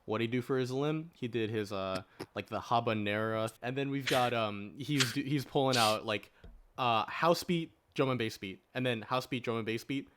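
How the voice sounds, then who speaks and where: A man's voice: mid-range voice, normal speed, fairly bright tone, slight background noise. A man in a casual podcast debate, arguing his points at length with rhetorical questions and plenty of "like". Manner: argues at length with rhetorical questions, frequent filler "like". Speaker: podcast panelist.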